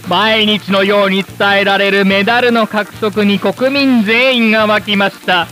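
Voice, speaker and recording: A man playing a rousing, showy host of an old-fashioned live TV special, with formal, emphatic, drawn-out announcing. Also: high-pitched, fairly even intonation, bright, forceful tone. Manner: grandiose, emphatic, drawn-out phrasing. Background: background music.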